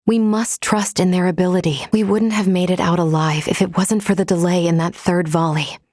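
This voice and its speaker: A woman voicing a composed, dutiful android crew member in a video game, speaking in a measured, formal, precise way. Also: lowish voice, steady tone, darkish tone, dry recording, studio quality, close to an everyday voice. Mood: calm, measured. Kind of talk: scripted video game character lines.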